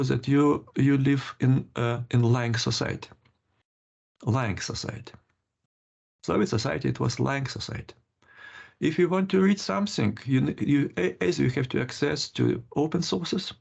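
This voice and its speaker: Gravelly older man, halting speech. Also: mid-range voice. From Lithuania. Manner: halting, many restarts and laughs. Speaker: interview guest, criminologist. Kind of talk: casual interview.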